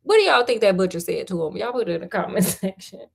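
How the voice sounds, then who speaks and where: A woman with an American accent reads a fairy tale in an animated style, with playful, chatty, slangy asides. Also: medium pitch, quick pace, vocal fry, husky. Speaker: storyteller and host.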